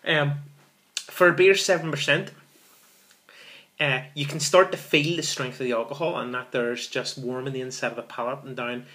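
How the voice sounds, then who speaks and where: A man's voice: medium pitch, fairly even intonation, moderate pace, pushed and bright. Enthusiastic man reviewing a beer at home. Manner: enthusiastic, repeats words, calls viewers folks. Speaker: beer reviewer, channel host. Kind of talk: casual beer review vlog. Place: small room indoors.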